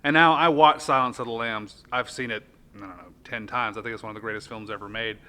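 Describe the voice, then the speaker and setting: A man with a bright, forward voice at a medium pitch. He talks like a podcast host in relaxed conversation, offering opinions freely. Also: fast talker. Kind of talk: casual chat about films.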